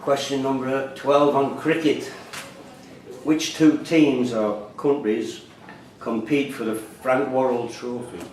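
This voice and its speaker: A man's voice: mid-range voice, fairly even intonation, slow. Echoey, distant male quiz master. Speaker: quiz master reading questions. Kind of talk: live quiz question reading. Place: indoor room at a quiz tournament. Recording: echoey room, distant mic, faint noise.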